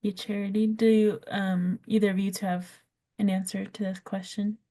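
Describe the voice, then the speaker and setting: A woman's voice: medium pitch, level tone, normal speed, dark tone. A woman moderating a panel discussion, friendly and a little scattered.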